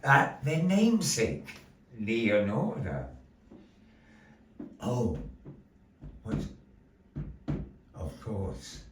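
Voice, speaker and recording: A man's voice: on the low side, huge pitch swings, extremely slow, pauses often. A man acting as master of ceremonies at a puppet show in a gallery, compering with flamboyant, mock-dramatic showmanship. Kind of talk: theatrical stage compering. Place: indoor gallery venue. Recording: roomy, distant, faint background noise.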